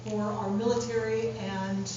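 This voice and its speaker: A woman's voice: medium pitch, flat delivery. Woman speaking from afar in echoey hall. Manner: measured, plain-spoken, repetitive for emphasis. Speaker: political candidate. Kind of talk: candidate forum speech. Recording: echoey hall, distant mic, dull sound, background noise.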